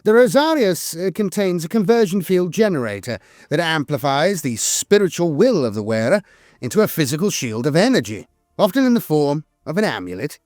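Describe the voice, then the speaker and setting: A man's voice: fairly high pitch, sing-song, a little fast, darkish tone, no room sound, studio quality, faint music behind. A man reading scripted lore narration in a solemn, formal manner.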